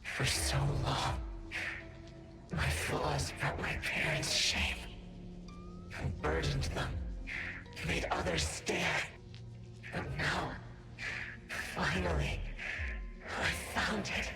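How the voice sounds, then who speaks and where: A man voicing a troubled, entranced boy in a game cutscene, reciting solemn poetic lines in a halting, dreamy way. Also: fairly high pitch, fairly even intonation. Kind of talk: dramatic game cutscene acting. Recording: loud music behind the voice.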